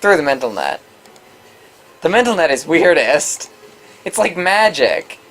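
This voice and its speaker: A woman co-hosting a let's play, reading game dialogue aloud in a casual, animated way. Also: deep voice, unhurried.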